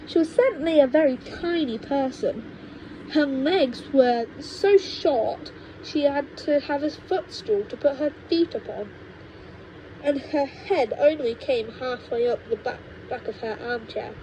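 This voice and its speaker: A woman reads a children's story in a high voice at an unhurried pace. She now and then stumbles over a phrase, and the home recording carries some noise. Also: mellow. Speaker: audiobook reader. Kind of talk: storybook narration. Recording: noisy low-quality home microphone recording.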